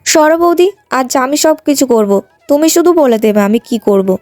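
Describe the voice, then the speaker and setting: A woman's voice: sits high, animated, moderate pace, darkish tone, soft background music. A woman voicing a vain, headstrong young woman in a cartoon folk tale. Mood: contrite, eager. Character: vain young long-haired woman, haughty and quarrelsome, later humbled.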